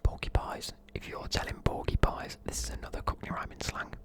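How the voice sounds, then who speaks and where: A man, whispering softly in a chatty, rambling way while explaining words. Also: brisk. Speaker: ASMR creator. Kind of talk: whispered ASMR explaining slang.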